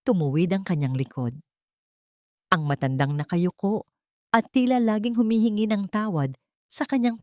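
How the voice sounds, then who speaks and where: A woman narrates a scripted dramatic story. Her voice sits a little low, and her delivery is solemn and emotive. The recording is dry, with no room sound. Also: no room sound.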